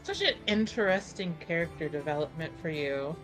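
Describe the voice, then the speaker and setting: A woman's voice: low voice, lively intonation, normal speed, a bit bright, soft background music. A woman casually talking through events as a player in a tabletop roleplaying game.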